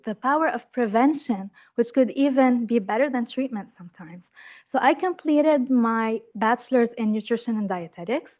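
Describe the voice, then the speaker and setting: A young woman from Lebanon presents at a seminar in a friendly, enthusiastic, personal way. Her voice sits a little high. The lecture recording sounds slightly dull and lo-fi. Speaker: graduate student presenter.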